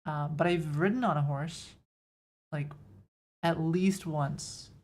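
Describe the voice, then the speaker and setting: A woman's voice: low-pitched, steady tone, slow pace, a little mellow. A woman from Texas with a Texan accent, chatting casually with her live chat while she codes. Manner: chatty, answers chat questions, self-deprecating. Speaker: coding streamer.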